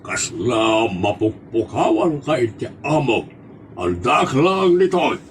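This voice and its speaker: A man's voice: medium pitch, very animated, slow pace, slight background noise. A man playing a reluctant, grumbling fellow in an Ilocano comedy drama, with an Ilocano accent and a hesitant, drawling delivery.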